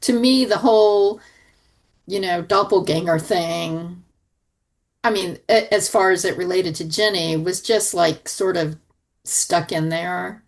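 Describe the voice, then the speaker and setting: A woman's voice: lowish voice, takes it slowly. A woman chatting casually as a panelist on a review podcast.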